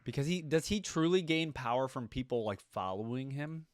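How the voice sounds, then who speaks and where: A man's voice: mid-range voice, lively intonation, moderate pace, no echo, studio quality. A man giving casual, off-the-cuff reaction commentary as a video host, musing and thinking aloud.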